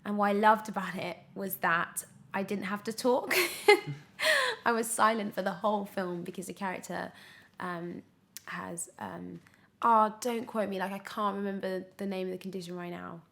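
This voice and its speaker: A woman's voice: medium pitch, animated, moderate pace, gravelly, fairly bright tone. Young British woman chatting as an interview guest. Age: 20s. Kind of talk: casual podcast interview chat.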